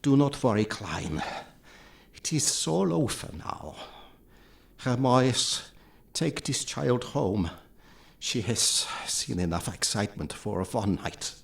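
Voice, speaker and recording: A man playing a grave, commanding professor and vampire hunter with a German accent, formal and deliberate, in a gothic horror drama. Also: mid-range voice, sing-song, creaky, husky, heavily acted. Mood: solemn.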